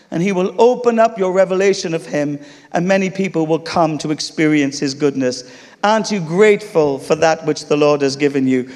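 A man with an English accent preaching a sermon, telling a funny story with laughs before turning to teaching. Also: high voice. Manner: humorous, animated storytelling with laughter. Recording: long reverb of a large hall.

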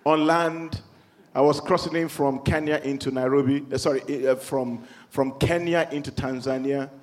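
A man's voice: medium pitch, moderate pace. A man with a Nigerian accent, preaching in a church and telling funny stories to the congregation. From Nigeria (born in London). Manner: humorous storytelling, animated, working the congregation. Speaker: pastor. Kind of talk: sermon. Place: church hall.

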